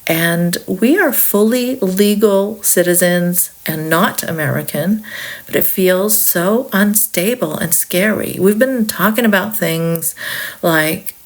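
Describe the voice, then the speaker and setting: A woman's voice: fairly low pitch, slow pace, rough recording. A woman from Saudi Arabia hosting a podcast, giving a reflective political monologue in a candid, conversational way with wry asides. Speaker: podcast host.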